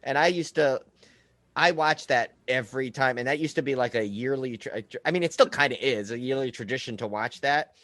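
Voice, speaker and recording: A man's voice: medium pitch, fairly quick, a bit bright, no room sound. A man in casual, conversational podcast chat, talking like a host among friends.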